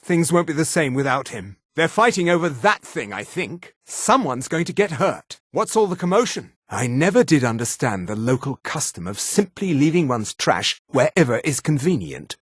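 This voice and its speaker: An actor playing a haughty male high elf in a fantasy video game, with precise, theatrical delivery. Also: husky, dry recording, studio quality. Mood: somber, then irritated. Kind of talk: scripted fantasy game voice acting.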